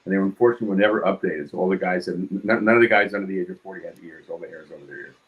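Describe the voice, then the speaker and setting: A man in his seventies from New York City with a New York accent, talking casually about his craft in his home studio, quick and succinct, hitting consonants hard. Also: deep voice, phone-quality sound. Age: 70s. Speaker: guest artist. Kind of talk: casual studio chat while drawing.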